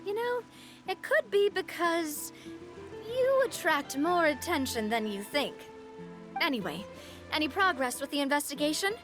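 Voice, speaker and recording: A woman's voice: high voice, expressive, a little slow, music in the background. An actress playing a confident young woman who leads a group in a video game, talking in a breezy, self-assured way.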